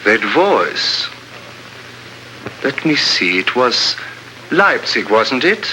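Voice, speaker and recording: A haughty, menacing foreign schemer in a vintage radio thriller. His formal, pompous lines rise and fall dramatically in a medium-pitched, piercing voice. The old recording carries slight noise. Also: very animated, sharp tone, exaggerated voice, rough recording. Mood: knowing, taunting. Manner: formal, pompous, deliberate phrasing. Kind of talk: 1950s radio adventure drama acting.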